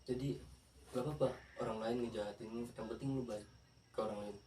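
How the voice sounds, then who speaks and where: Podcast guest, earnest young man, halting delivery; recorded far off the mic in an echoey room with a faint hiss behind him. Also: lowish voice, steady tone, darkish tone. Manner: earnest, hesitant, searching for words. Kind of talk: casual chat.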